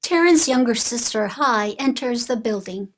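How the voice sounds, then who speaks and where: Higher-voiced woman reading stage directions. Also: animated, moderate pace. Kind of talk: scripted narration.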